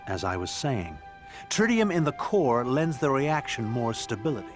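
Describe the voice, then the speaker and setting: An actor voicing a proud, courteous middle-aged scientist in a video game, grand and professorial, with music behind. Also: male voice, mid-range voice, big pitch swings, moderate pace, lightly acted. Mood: proud, enthusiastic. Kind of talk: video game character acting.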